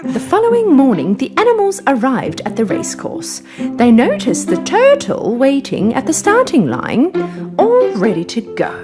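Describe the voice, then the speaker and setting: A woman storyteller reading a scripted children's fable in an expressive, dramatic way. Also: sits high, moderate pace. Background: music.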